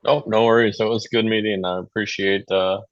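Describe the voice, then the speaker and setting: Male, very low voice, informal meeting talk, dull close-sounding video-call audio. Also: level tone, fairly quick, no echo.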